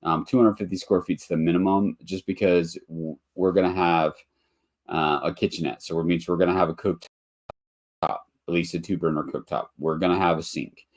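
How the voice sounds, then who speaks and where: A man's voice: sits low, fairly even intonation, fairly quick. A man hosting a live webinar in a casual, rambling way, a bit nasal and congested from allergies, with lots of ums and restarts. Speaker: webinar host, motel investor.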